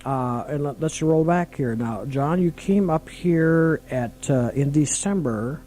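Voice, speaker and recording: A man's voice: a little high, takes it slowly, dark tone. A man in his fifties hosting a casual live radio chat. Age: 50s. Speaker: radio host. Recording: music bed on older radio aircheck.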